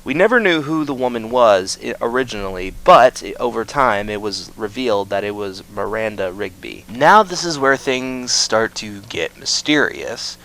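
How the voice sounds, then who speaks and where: A man's voice: fairly low pitch, animated, normal speed, few pauses, fairly bright tone, poor audio. A man narrating a scripted video essay that explains a horror series.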